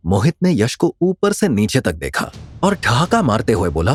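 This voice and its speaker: A male narrator tells a dramatic story from a script. His mid-pitched voice moves widely in intonation, and music runs underneath. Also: wildly swooping pitch, moderate pace.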